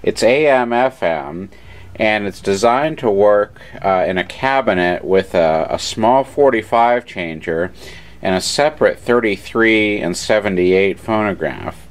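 A man giving casual, matter-of-fact hands-on commentary at a workbench, a little halting as he explains, picked up by a handheld camera microphone. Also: on the low side, expressive. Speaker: radio repair hobbyist and narrator. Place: indoor electronics workbench. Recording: noisy room with radio hiss, handheld camera. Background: test radio noise and hum.

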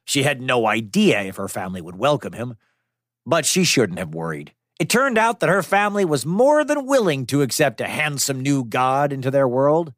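A man's voice: medium pitch, big pitch swings, normal speed, no room sound, studio quality. A man narrating a children's myth as storyteller, in a playful, conversational way. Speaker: storyteller host.

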